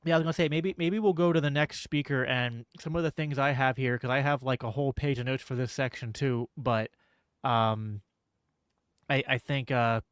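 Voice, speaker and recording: A man hosting a podcast discussion, talking in halting bursts with many fillers. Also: medium pitch, fairly even intonation, clean tone, no room sound. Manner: halting, many fillers and restarts, laughs easily. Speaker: podcast host. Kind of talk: casual podcast chat.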